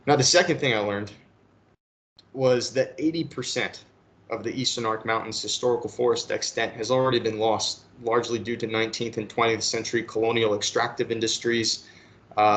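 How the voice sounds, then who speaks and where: A low-pitched man in his thirties speaks earnestly to a panel, recounting his path in a prepared talk. He often repeats a word as he restarts a phrase. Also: fairly bright tone. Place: webinar call.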